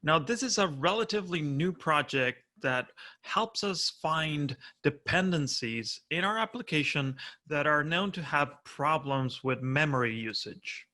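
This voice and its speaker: A man's voice: on the high side, expressive, measured pace, few pauses, bright, forceful tone. A man in his thirties from Argentina with an Argentine Spanish accent, giving a friendly, explanatory prerecorded conference talk from home. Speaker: conference speaker.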